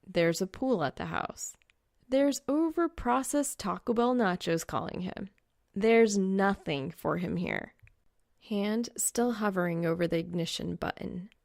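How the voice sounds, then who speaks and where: A woman reading fan fiction as an audiobook narrator, expressive and wry. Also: mid-range voice, gravelly, no echo, clean studio sound.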